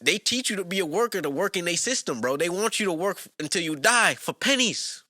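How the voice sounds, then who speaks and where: Podcast co-host, male, from New York: animated pitch, sharp bright tone, slang-heavy hype. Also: a little fast, no room sound, clean studio sound. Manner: lively, repetitive hype phrases, slangy. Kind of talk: casual podcast chat.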